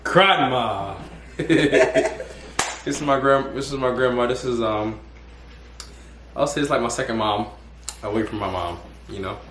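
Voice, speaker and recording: A man's voice: medium pitch, a little slow, pauses often, fairly bright tone, slight background noise. A young man hosting a casual interview, rambling and restarting his questions. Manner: rambling, restarts and repeats words. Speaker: host. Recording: echoey room.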